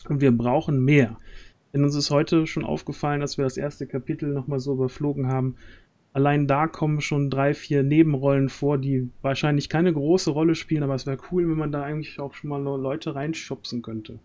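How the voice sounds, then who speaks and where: A man hosts a casual livestream. His voice is soft-edged and mellow, and he talks haltingly, feeling for his words as he goes. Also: on the high side, fairly even intonation. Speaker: stream host and audio drama organiser. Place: small room at home, on a group video call.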